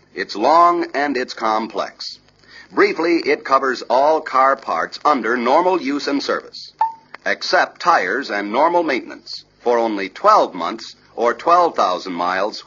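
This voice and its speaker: American guy doing 60s salesman training film narration, punchy and persuasive, lively pitch, kinda muffled old film audio. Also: unhurried, husky. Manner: emphatic, persuasive salesman-like delivery. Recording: dull, lo-fi 1960s film soundtrack.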